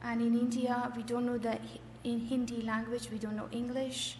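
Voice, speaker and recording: Higher-voiced middle-aged woman, very echoey hall. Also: level tone, normal speed, a little mellow. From Afghanistan. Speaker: church member giving testimony. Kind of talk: personal testimony in short interpreted phrases. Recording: echoey hall with faint noise.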